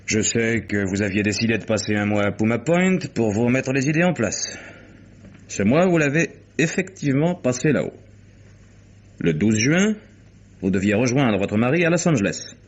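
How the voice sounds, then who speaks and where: An actor playing an insistent, coolly sardonic private detective in a vintage radio crime drama. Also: male voice, mid-range voice, animated, normal speed, natural voice. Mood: methodical. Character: dogged hired private detective, probing and insistent. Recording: dull lo-fi vintage radio recording.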